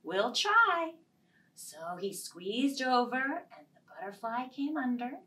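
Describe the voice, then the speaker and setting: A woman in her fifties telling a story to young children as a storytime host, expressively, dropping into hushed phrases. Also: on the high side, lively intonation, unhurried, far from the mic, slight background noise. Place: small indoor storytime set.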